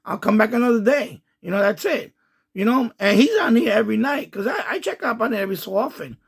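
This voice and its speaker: A man talks casually and at length, circling back and repeating himself as he goes. His voice sits high and sounds gravelly, with a husky edge. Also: lively intonation, quick pace. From United States. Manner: rambling, repeats himself, frequent restarts. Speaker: ham radio channel host. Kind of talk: casual commentary to camera.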